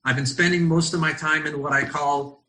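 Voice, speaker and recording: A middle-aged American man from Los Angeles, a rabbi leading an online study session over a video call, speaking thoughtfully and often pausing with ums as he searches for words. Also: a little high, fairly even intonation, pushed and bright. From Los Angeles, United States. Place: at home in Israel.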